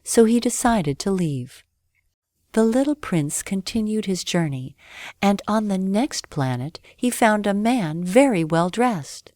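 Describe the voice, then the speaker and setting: A woman narrating a scripted bedtime story for children with expressive, careful phrasing. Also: medium pitch, a little slow, dry recording, studio quality.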